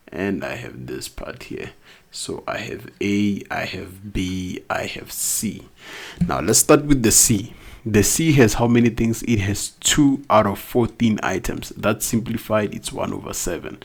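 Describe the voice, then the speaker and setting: Accented male math tutor, low gravelly voice, walks through each step and quizzes himself aloud. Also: animated, measured pace. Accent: non-native English accent. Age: adult, not young. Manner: explains step by step, asks questions then answers them. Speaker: math teacher. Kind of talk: recorded math lesson.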